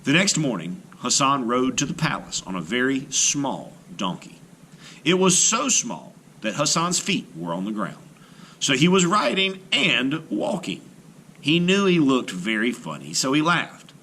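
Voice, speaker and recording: Male storyteller for children's read-aloud: bright, mid-pitched, expressive intonation, faint noise behind. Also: unhurried. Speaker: storyteller, art teacher.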